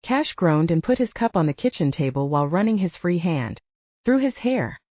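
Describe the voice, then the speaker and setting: A woman's voice: lowish voice, big pitch swings, normal speed, darkish tone, dry recording, highs cut off. A woman reading fiction aloud as a scripted audiobook narrator.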